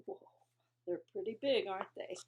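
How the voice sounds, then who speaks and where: A woman's voice: mid-range voice, normal speed, breathy edge, phone-quality sound. A woman teacher reading a children's storybook aloud, expressively, with chatty asides to her young listeners.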